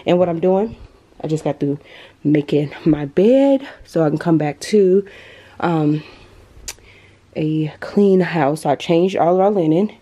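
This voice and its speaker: A woman's voice: sits low, a little slow, pauses often, mellow. A woman vlogger chatting and gushing as she walks through her apartment, filming with a handheld camera. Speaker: lifestyle vlogger. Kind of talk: casual home tour vlog. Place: apartment indoors. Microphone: handheld compact camera mic.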